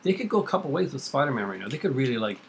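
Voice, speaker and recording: A middle-aged man talks quickly and casually as the host of a homemade video. He rambles and gives firm opinions, with faint noise behind him. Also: medium pitch, very animated, vocal fry, rough recording. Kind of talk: casual chat about comics. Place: kitchen at home.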